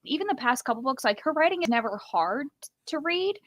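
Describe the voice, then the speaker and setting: Woman on a casual book live, bit higher voice, wry, says like loads, really dry sound no echo. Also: sing-song, brisk, a bit bright, lo-fi sound. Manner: wry, conversational, uses lots of "like". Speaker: co-host of a live book readalong.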